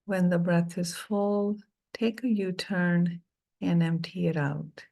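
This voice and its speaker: A middle-aged woman leading a guided meditation, speaking softly and soothingly with long pauses between phrases. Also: lowish voice, fairly even intonation, gravelly.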